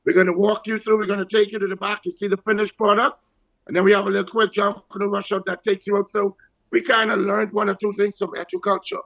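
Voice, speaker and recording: A man's voice: high-pitched, flat delivery, quick pace, creaky, dull audio. A Bahamian man speaking as an interview guest, explaining things politely in a conversational way. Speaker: guest, leader of a Junkanoo group.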